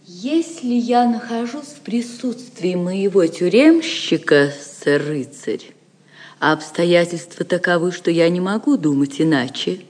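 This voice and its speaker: A woman's voice: lowish voice, a little slow, a little mellow. A woman acting a proud, defiant captive noblewoman in an audio drama, formal, icy and scornful. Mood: icy dignity.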